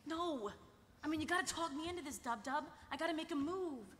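A woman's voice: high-pitched, lively intonation, brisk, a bit bright. A young woman playing an earnest, fretful young artist, gushing and melodramatic, at a live table read. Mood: flustered. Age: young adult. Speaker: actress. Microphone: table microphone. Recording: echoey convention hall, faint noise.

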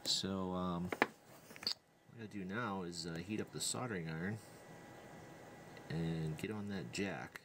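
A man narrating a casual hands-on tutorial, thinking aloud in an unhurried way as he works. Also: low-pitched. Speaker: DIY electronics demonstrator. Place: indoor workbench. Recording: clear background noise and room ambience.

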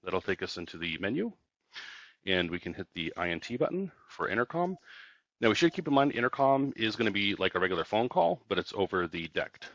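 Low-voiced man giving a product tutorial. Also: fairly bright tone, dry recording, muffled recording. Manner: explanatory, step-by-step. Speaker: company product trainer. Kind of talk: casual product tutorial narration.

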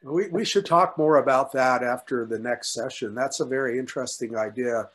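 A man's voice: mid-range voice, normal speed, fairly bright tone. Older man talking shop, emphatic and conversational. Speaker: paleontologist at a workshop. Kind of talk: informal academic discussion. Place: indoors, on a video call. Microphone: video call computer mic.

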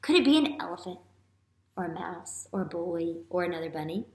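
A woman in her thirties, a teacher reading a picture book aloud to young children and asking them questions with drawn-out emphasis. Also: fairly low pitch, lively intonation. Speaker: elementary school teacher. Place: small classroom-like room, indoors. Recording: roomy indoor echo.

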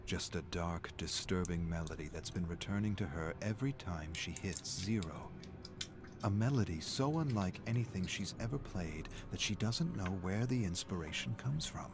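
A man playing a brooding narrator of dark game lore, reading with measured, deliberate drama. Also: low-pitched, expressive, noisy background. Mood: brooding, ominous. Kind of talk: dramatic scripted story narration.

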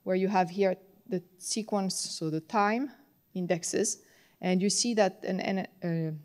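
French woman w french accent explaining technical stuff at a conference podium, medium pitch, kinda halting. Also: a little mellow. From France. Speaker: conference tutorial presenter. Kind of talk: technical lecture to an audience. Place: large conference hall, on stage at a podium.

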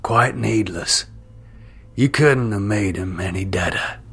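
A man voicing a gruff, commanding captain of an Old West party, firm and authoritative, in a storybook reading. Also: on the low side, slow, a bit bright, a little hiss or hum. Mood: firm, grave.

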